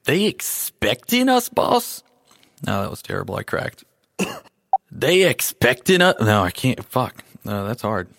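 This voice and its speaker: A man's voice: mid-range voice, wildly swooping pitch, a little slow, gravelly, fairly bright tone, no echo. A man recording voice lines who keeps flubbing and criticizing his own takes. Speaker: voice actor. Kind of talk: recording session outtakes.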